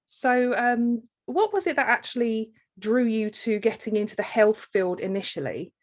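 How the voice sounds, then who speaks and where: A woman hosting a podcast, asking questions in a relaxed, casual way with frequent ums and fillers. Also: a little high, normal speed. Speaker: podcast host.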